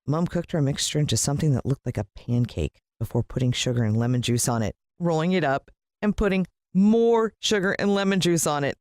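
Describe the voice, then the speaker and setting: An American woman narrating a children's story in an expressive, dramatic storytelling manner. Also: deep voice, a little fast, no room sound, clean studio sound. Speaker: audiobook narrator.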